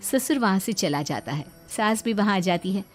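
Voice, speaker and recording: A woman's voice: mid-range voice, lively intonation, moderate pace. Woman, storyteller, scripted narration, moral family tale, faint background music.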